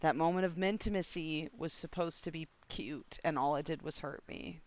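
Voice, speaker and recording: A woman reacting casually to a video game on a livestream, slangy, sweary and expressive. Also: low voice, normal speed, low-quality recording.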